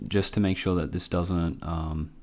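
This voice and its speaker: Low-voiced man giving a casual tutorial. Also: steady tone, unhurried, darkish tone. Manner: informal, hesitant, thinking aloud. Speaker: tutorial host.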